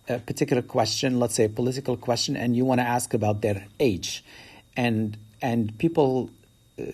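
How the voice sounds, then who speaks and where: A man's voice: a little mellow, husky. A man teaching a statistics lesson, explaining step by step and lifting his voice to stress key points. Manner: explanatory, rises in pitch to stress key words. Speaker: math teacher. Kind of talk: recorded statistics lecture.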